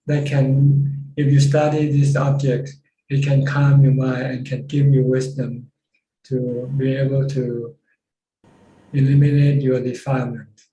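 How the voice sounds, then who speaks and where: A man with a Thai accent teaching as a Buddhist monk in an informal question-and-answer talk, halting and plain-spoken. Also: mid-range voice, warm tone. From Thailand. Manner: halting, with pauses and repeated phrases. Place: video call from a roomy space. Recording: roomy distant mic with background noise.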